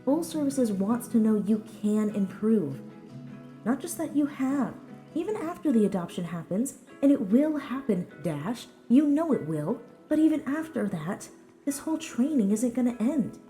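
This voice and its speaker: A woman voicing a gentle, sensible female pony who reassures a friend in an earnest, measured, encouraging way in a story reading. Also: on the high side, very animated, soft background music. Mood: gently encouraging.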